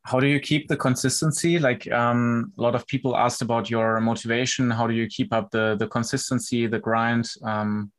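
A man's voice: medium pitch, steady tone, normal speed, hardly any pauses. A man hosting a podcast, asking hesitant, searching questions. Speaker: podcast host. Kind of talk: interviewing.

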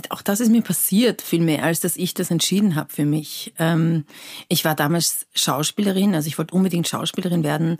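Low-voiced Persian-born woman, dry sound. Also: dry recording. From Iran. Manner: animated, lively storytelling with many fillers. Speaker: cabaret artist guest. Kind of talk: casual interview chat.

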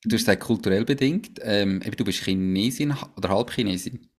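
Podcast host, man, very low voice, curious questioner. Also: brisk. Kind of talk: casual interview.

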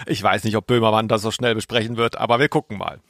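A man's voice: fairly low pitch, steady tone, fast, gravelly, fairly bright tone, slight background noise. A man co-hosting a podcast in casual banter and reading out listener mail. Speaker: podcast co-host.

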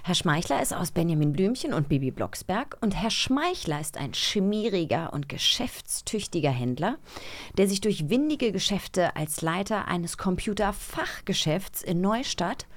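A woman co-hosting a podcast in casual, playful chat, expressive and jokey. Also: medium pitch, measured pace. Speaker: podcast co-host.

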